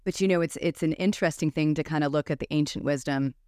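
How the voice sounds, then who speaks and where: A woman's voice: deep voice, quick pace, a little mellow, no echo, clean studio sound. A middle-aged woman hosting a podcast, talking in a casual, conversational interviewing style. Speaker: podcast host.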